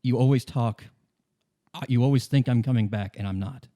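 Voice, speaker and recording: A man playing a weary, resigned woman in her late thirties leaving an old love, in a tabletop roleplay, speaking quietly and firmly. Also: mid-range voice, normal speed, natural voice. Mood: sad, resigned.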